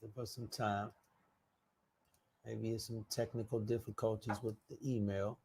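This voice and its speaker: Casting: man, informal online show host, mid-pitched voice, easygoing conversational chat with trailing asides. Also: level tone, a little slow, gravelly, background noise. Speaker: live stream show host. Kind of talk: casual live stream chat.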